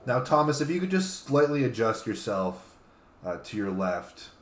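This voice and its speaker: A man's voice: medium pitch, sing-song, normal speed. A man co-hosting a casual, joking panel chat. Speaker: talk show co-host. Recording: echoey room.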